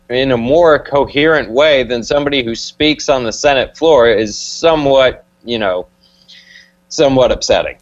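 Guy with a medium-pitched voice on a dull lo-fi call, casual and kinda tentative podcast chat. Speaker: podcast co-host.

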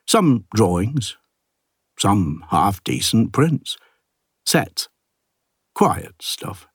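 A man narrating an audiobook as an ageing village artist, a plain-spoken, gruff and reflective loner, with a husky low voice and a wry, understated delivery. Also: no echo, studio quality, exaggerated voice. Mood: quiet, reflective. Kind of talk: first-person literary audiobook narration.

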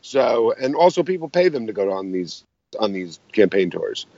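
A hoarse man with a low voice lays out his points in an explanatory, analytical way. He comes through a dull, lo-fi remote line. The sound is dry. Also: big pitch swings, normal speed, warm tone, dry recording. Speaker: podcast guest, political commentator. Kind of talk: casual interview chat.

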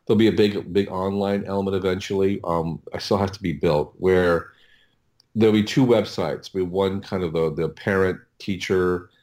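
A man talking casually as an interview guest, explaining things in a halting way and often repeating his words. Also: low-pitched, steady tone, darkish tone. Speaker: interview guest, audio producer.

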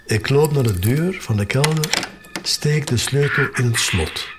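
A man narrating an audio drama in the first person, in a measured, expressive way with pauses between short phrases. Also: mid-range voice, noisy background.

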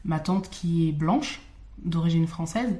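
A woman's voice: deep voice, a little slow, dark tone. A woman co-hosting a casual conversation, chatty, with easy laughs and many fillers.

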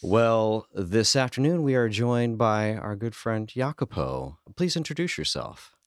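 A man's voice: fairly low pitch, big pitch swings, measured pace, dry recording, studio quality. A man hosting a casual radio interview, introducing and questioning his guest. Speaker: radio host.

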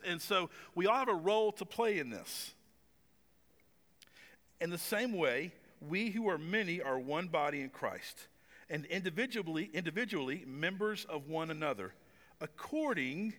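A man's voice: on the high side, a bit bright, long reverb tail. A man of about forty preaching a sermon as pastor in a conversational, self-deprecating way, with some stumbles and fillers. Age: 40s. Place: church stage with long reverb.

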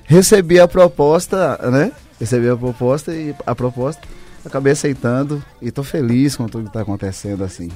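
A man's voice: mid-range voice, brisk, warm tone. A man with a Brazilian accent chatting effusively and in a rambling way as a singer-songwriter guest in a radio interview. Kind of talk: casual radio interview chat. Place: radio studio. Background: music.

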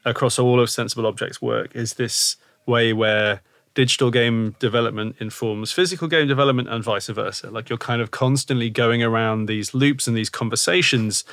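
A man's voice: mid-range voice, fairly even intonation, normal speed, a bit bright, no echo. A man hosting a podcast, chatting casually and thinking aloud with lots of fillers. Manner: rambling, thinks aloud with many "like" and "kind of". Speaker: podcast host.

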